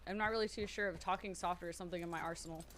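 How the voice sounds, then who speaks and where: A woman streamer chatting loudly and nervously to her viewers, thinking out loud and swearing freely. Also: on the low side, fairly even intonation, racing, a little hiss or hum. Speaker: streamer playing a roleplay character. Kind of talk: casual chat to stream viewers.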